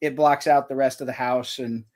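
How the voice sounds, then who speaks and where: A man's voice: steady tone, moderate pace, darkish tone. A man, an author and audiobook narrator, chatting casually on a live stream about his home recording setup, a little self-deprecating, with frequent restarts. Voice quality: slightly high voice. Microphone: cheap stand mic in a foam sound box.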